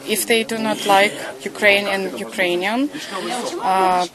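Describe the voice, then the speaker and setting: A woman's voice: medium pitch, steady tone, takes it slowly. A young woman with a Russian accent, a Russian native speaker, answering an interviewer casually and searching for words. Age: young adult. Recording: crowded party chatter behind.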